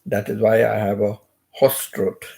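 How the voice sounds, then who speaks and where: An older man hosting a casual chat from his bed, keeping his voice low and nearly whispering, so it comes out hoarse. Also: flat delivery, unhurried. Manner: speaks softly, almost whispering; hums tunelessly between thoughts. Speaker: channel host. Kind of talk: casual rambling livestream chat. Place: bedroom, in bed.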